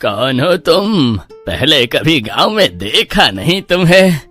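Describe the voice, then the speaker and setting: Man acting a sharp, slow-talking village uncle. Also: fairly high pitch, very animated, faint music behind, full character voice. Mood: flirtatious. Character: gruff, scolding, lecherous village uncle. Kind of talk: Hindi cartoon horror story.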